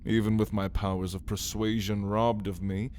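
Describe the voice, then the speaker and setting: A man's voice: lowish voice, flat delivery, normal speed, darkish tone, a little hiss or hum, close to an everyday voice. A man playing a brooding, menacing caged vampire in an ASMR roleplay, speaking in a theatrical, taunting way with archaic wording. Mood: scornful. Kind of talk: ASMR roleplay, dramatic monologue to listener.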